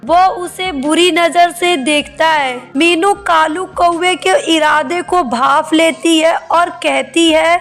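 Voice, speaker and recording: A woman's voice: very high voice, slow, hardly any pauses, fairly bright tone. A woman reading scripted story narration for a children's cartoon. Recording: music behind the voice.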